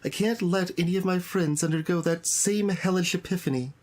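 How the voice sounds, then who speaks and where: A man voicing an earnest, sorrowful girl reading a farewell letter from a visual novel in a solemn, measured way. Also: high voice, voice pushed up, lightly acted. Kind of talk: dramatic read-aloud of visual novel text.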